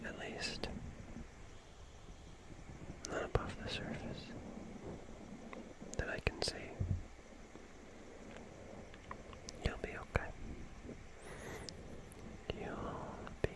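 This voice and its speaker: An ASMR performer plays an ageless guardian of a dark forest, speaking entirely in a hush. The whisper moves very slowly, with long lingering pauses. It is tender and soothing, yet faintly eerie, like a horror bedtime tale told to lull a listener to sleep. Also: natural voice, rough recording. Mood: tender, eerie reassurance.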